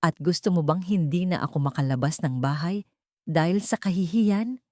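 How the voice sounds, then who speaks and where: Woman voicing a stern, mellow older mother. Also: fairly low pitch, moderate pace, dry recording, clean studio sound, lightly acted. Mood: shocked, angry. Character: stern, easily scandalised older mother of a grown son.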